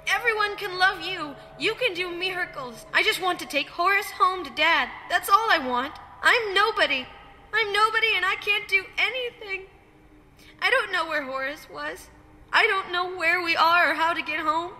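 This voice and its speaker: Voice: very high-pitched, sharp tone, full character voice. An actor playing an earnest young boy, a pleading little brother, in an audio drama. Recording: long hall reverb, noisy ambience behind.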